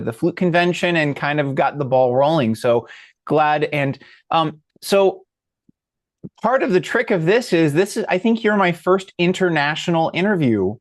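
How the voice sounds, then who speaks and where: A man's voice: fairly high pitch, no echo, clean studio sound. A man hosting an interview with a flutist over a video call, friendly and prone to restarts and filler words. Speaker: host and interviewer. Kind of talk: interview conversation.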